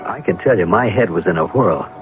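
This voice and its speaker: Anxious henpecked husband, lowish voice, very animated pitch, halting stammer, guilt-ridden, old radio drama. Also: male voice, over music. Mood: bewildered. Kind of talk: old-time radio drama acting, with first-person narration. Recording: muffled, noisy old radio broadcast.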